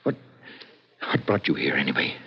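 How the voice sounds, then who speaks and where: An actor playing a nervous, secretive old professor in 1940s radio drama, speaking in a fussy, halting, defensive way. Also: male voice, rumbling low, animated, breathy edge, full character voice. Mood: resigned. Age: older. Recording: muffled old radio broadcast with hiss.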